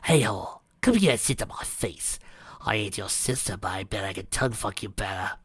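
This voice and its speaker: A vicious possessed teen girl hisses lewd threats in a hoarse whisper, as a man reads her lines. The sound is close and dry, with no room around it. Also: lowish voice, a little fast, a bit bright, no room sound. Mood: snarling. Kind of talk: fan fiction reading.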